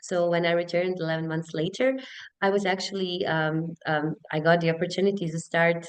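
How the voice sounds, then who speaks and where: A woman's voice: lowish voice, flat delivery, a little fast, smooth. A woman in her thirties with a Bosnian accent, giving earnest, wordy conversational answers as a webinar guest. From Bosnia and Herzegovina. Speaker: HR business partner, webinar guest.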